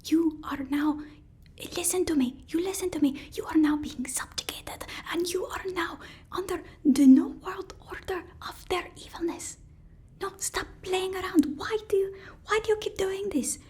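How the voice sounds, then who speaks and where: A woman playing a deferential, flustered personal assistant to a supervillain in a halting, whispered ASMR roleplay. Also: very high-pitched, voice pushed up. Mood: exasperated scolding. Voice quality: soft, breathy whisper.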